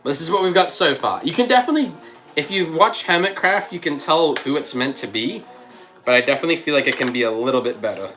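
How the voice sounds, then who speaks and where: A man's voice: a little high, lively intonation, fast, sharp tone, faint music behind. A man hosting a casual livestream, thinking aloud as he builds and answering chat, still with a slight cough and a frog in his throat. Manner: thinks aloud, chatty, reads out chat messages. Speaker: livestream host.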